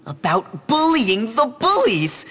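An actor playing a scheming, self-important nerdy teenage schoolboy in a video game, wordy and melodramatic. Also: male voice, extremely high pitch, huge pitch swings, slow, fairly bright tone, exaggerated voice. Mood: grandiose scheming. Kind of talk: video game cutscene acting. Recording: reverberant hall, faint noise.

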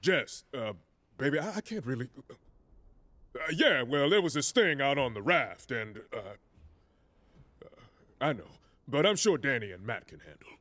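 An actor playing a tough, down-to-earth superhero in a video game. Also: male voice, mid-range voice, very animated, a little slow, pauses often, a bit bright, no room sound. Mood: flustered. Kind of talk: video game cutscene acting.

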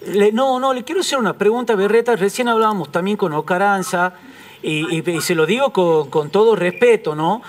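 A man's voice: high voice, a little fast, a little hiss or hum. A middle-aged Argentine man interviewing on live news, putting his questions tentatively and politely, with many repetitions. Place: TV news studio.

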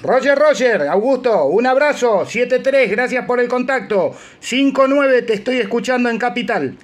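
A man's voice: extremely high pitch, animated, normal speed. A man with an Argentine Spanish accent, speaking informally as an amateur radio operator and presenter on a phone recording. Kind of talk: informal equipment demonstration and radio contacts. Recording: clear background noise, handheld phone video.

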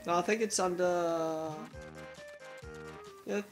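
A man's voice: sits high, fairly even intonation, slow pace, faint music behind. A man co-hosting a casual let's play, teasing and swearing at the game in crude banter. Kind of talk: casual gameplay commentary.

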